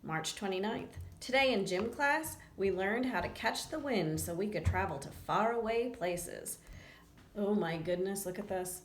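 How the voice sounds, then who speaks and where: A woman's voice: mid-range voice, a little slow. A woman reading a children's picture book aloud expressively, breaking off for chatty asides to young listeners. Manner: expressive, acts out lines and chats to young listeners. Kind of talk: read-aloud storytelling with casual asides. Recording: roomy echo, faint background noise.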